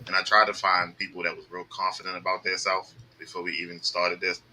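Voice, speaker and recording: A man's voice: low-pitched, completely flat delivery, quick pace, noisy background. A man hosting a podcast in casual chat, loose and joking, talking over his guests. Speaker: podcast host.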